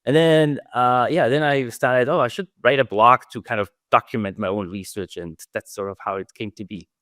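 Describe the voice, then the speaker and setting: Austrian man, soft trailing answers, dry mic. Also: medium pitch, moderate pace, dry recording. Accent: Austrian German. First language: German. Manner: thoughtful, restarts phrases, trails off softly. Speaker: security researcher guest. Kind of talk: casual interview answers.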